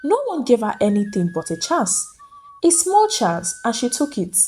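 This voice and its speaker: A woman storyteller plays a dignified housemaid and mother in a dramatic folktale. She speaks respectfully and earnestly in a high, expressive voice, quietly standing her ground. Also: moderate pace, faint music behind. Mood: earnest, pleading.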